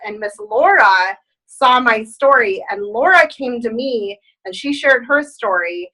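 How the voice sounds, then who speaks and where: A woman hosting a group video call, giving an enthusiastic, rallying testimonial pitch through a laptop webcam. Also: pushed and bright, rough recording.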